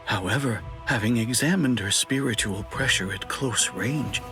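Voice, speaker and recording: A courteous, learned anime mage. His mid-pitched voice is formal, calm and measured, with music clearly behind it. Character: polite, scholarly, calm male barrier mage. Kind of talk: anime game dub acting.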